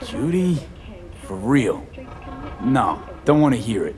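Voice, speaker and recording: An actor playing a street-hardened young mercenary in a video game, terse, steady and low-key. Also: male voice, takes it slowly, crowd noise. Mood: firm. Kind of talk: video game cutscene acting. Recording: music behind the voice.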